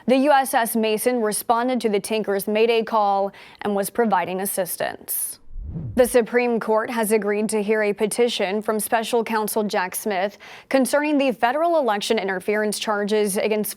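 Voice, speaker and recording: An American woman working as a news anchor, reading scripted news in a measured, formal newsreader delivery. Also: medium pitch, a little mellow.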